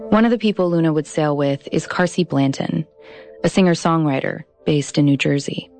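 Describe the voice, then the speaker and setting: Woman in her 30s, low voice, careful scripted podcast narration, bit of music under it. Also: a little mellow. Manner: measured, deliberate, slows on key phrases.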